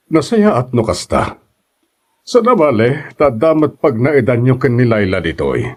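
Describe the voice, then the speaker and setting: An actor as an encouraging boss and landlord in a radio-style drama. He is a man with an Ilocano Filipino accent, a middling pitch and very lively intonation. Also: a little slow. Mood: welcoming. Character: kindly, encouraging male boss and boarding-house landlord.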